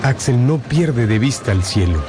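A man's voice: mid-range voice, expressive, normal speed. A man reading scripted dramatic narration for a radio novel. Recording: loud music behind the narration. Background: music.

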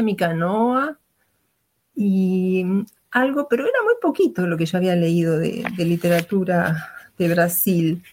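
A woman's voice: fairly low pitch, darkish tone. A woman in her sixties with a Uruguayan Spanish accent, a retired teacher telling her life story in a hesitant, reflective way full of fillers and restarts.

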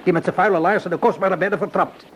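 A cynical, middle-aged tavern keeper played by a male actor in a radio play. His voice sits a little high for a man, and he talks with weary, measured sarcasm. The old recording carries faint noise. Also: steady tone, natural voice. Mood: scornful, sardonic. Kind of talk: Dutch radio play acting.

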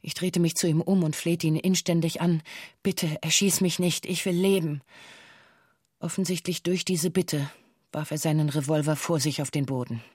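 A woman playing a former hostage who gives sober witness testimony in a documentary radio drama, formal and deliberate in delivery. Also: low voice, fairly even intonation, a little mellow, breathy edge, no echo, clean studio sound. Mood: pleading.

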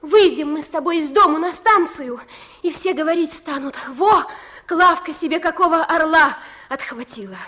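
A woman's voice: high-pitched, normal speed, sharp tone. An actress in a Russian radio drama playing a devoted young woman who pleads stubbornly and colloquially with the thief she loves. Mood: tender persuading. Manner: pleading yet resolute, colloquial.

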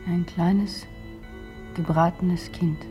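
A woman's voice: on the low side, fairly even intonation, slow, mellow, soft background music. An actress in a radio drama playing a mournful woman, solemn and tender.

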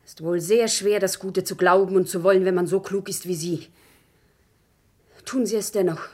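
An actress playing a humble, fervent commoner wife who pleads earnestly in formal diction, in a classic German radio play. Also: female voice, medium pitch, steady tone, normal speed, lightly acted.

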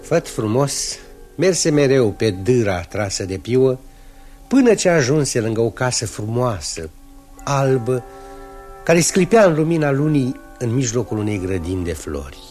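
A man's voice: mid-range voice, very animated, lightly acted. A man narrating a fairy tale in a 1960s radio drama, lyrical and storytelling. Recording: old radio studio tape with soft music.

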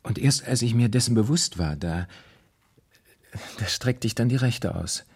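An actor in a German radio crime drama playing a troubled man who speaks hesitantly and haltingly about his fears. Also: medium pitch, animated, darkish tone, slightly rough. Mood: earnest, hesitant. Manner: hesitant, halting, repeating himself. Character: anxious, troubled man confiding a dreadful fear.

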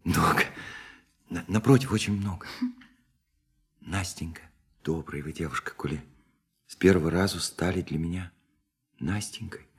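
An actor in a Russian radio play playing a shy, lonely dreamer, hesitant and earnest, with a soft husky voice. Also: male voice, sits low, full character voice. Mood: tender, hesitant. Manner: hesitant, halting, flustered, earnest.

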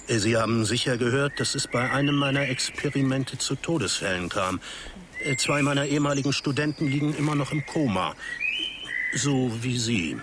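An actor in a radio drama playing a withdrawn older researcher who expounds eerie theories in a halting, musing, theatrical way. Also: male voice, medium pitch, very noisy, close to an everyday voice. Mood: weary, guarded.

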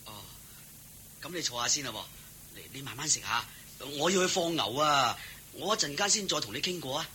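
An actor playing an eager, humble, good-hearted cowherd servant in a Hong Kong Cantonese radio drama, deferential and full of exclamations. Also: male voice, medium pitch, lively intonation, fairly quick, pushed and bright, slight background noise. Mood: eager, generous.

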